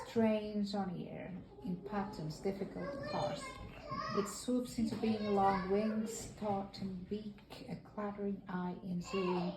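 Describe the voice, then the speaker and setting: A woman reading poetry aloud as an amateur, on a noisy, echoey home recording. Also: medium pitch. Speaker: family member reading poetry.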